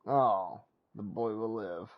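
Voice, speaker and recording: A man's voice: lowish voice, slow pace, no echo, phone-quality sound. A man doing casual video game commentary, muttering to himself and reacting in blunt slang.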